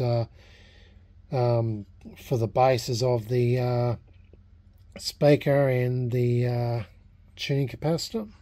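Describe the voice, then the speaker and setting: An Australian man with a soft-edged, mellow voice at a middle pitch talks through a hobby project in a casual vlog. He is halting and unscripted, thinking aloud as he goes. The sound is dry and close. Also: no echo. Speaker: hobbyist vlogger restoring a vintage radio. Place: small indoor home workshop at a bench.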